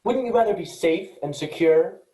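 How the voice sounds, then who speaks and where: Man playing a mellow, literal-minded space worker, echoey. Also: sits high, expressive, normal speed. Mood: puzzled, defensive. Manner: matter-of-fact, precise. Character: earnest, cautious, literal-minded male list-making space worker. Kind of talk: virtual staged reading of a sci-fi play. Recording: echoey room.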